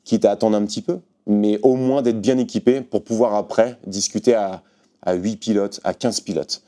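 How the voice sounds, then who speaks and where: A man's voice: lowish voice, steady tone, moderate pace, dark tone. A man casually explaining a product to viewers in an informal, hands-on way, as a shop presenter.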